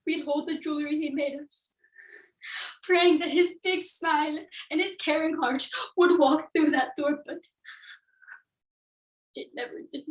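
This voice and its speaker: A woman performing a ten-year-old Honduran girl, telling her story in a hushed, tearful way. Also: high voice, fairly even intonation, measured pace, long pauses, echoey room, distant mic, poor audio. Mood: grieving. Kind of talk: competitive dramatic interpretation performance.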